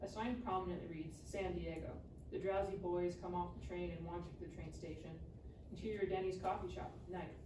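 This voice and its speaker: Lowish-voiced woman reading screenplay directions. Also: fairly even intonation, fairly quick. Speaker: stage-direction narrator. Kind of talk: scripted screenplay narration, live table read. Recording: roomy, distant mic, clearly noisy.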